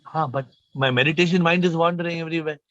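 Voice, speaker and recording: A man with a fairly high voice leads a spiritual talk over a video call. He is emphatic, repeats himself and puts questions to listeners. The sound is dry and a little muffled. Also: steady tone, fast talker, fairly bright tone, dry recording. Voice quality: slightly low, subdued voice today. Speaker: spiritual teacher hosting a call.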